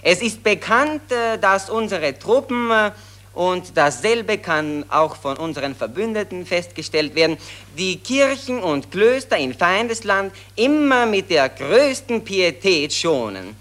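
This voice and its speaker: A man's voice: high-pitched, sing-song, measured pace, a bit bright, full character voice. An actor playing a chatty male patriot in a radio play, reading news aloud in a chummy, drawling way with hesitant fillers.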